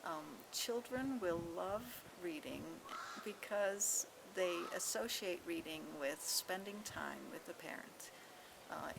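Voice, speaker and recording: A woman giving a measured interview explanation as an English language educator. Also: fairly low pitch. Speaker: English language fellow, teacher trainer. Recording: noisy on-location interview with clear background ambience.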